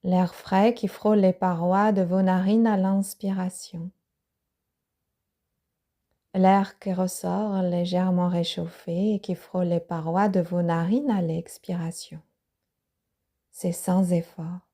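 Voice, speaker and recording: A woman yoga teacher guiding a yoga nidra relaxation, speaking softly with breathy, half-whispered phrases. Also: on the low side, level tone. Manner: slow, soft-spoken guidance with breathy, half-whispered phrases.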